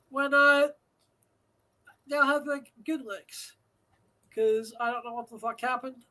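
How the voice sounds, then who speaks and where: A man's voice: very high voice, bright, forceful tone, low-quality recording. A man hosting a live show from home, talking in a rambling, profane way with many fillers, a slightly nasal voice and a dry throat. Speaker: host. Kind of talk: casual live show monologue.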